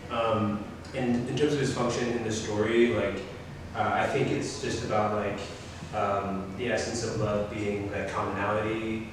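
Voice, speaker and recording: A man talking casually and reflectively as an actor, hesitantly searching for his words. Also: fairly low pitch. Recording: distant, echoey room with clear noise.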